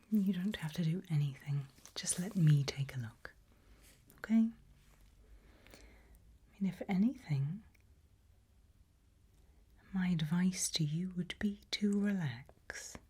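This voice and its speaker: A woman softly whispering a medical exam roleplay in ASMR style, giving gentle, deliberate instructions with pauses. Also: lowish voice. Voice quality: soft breathy whisper. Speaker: ASMR performer playing an examiner.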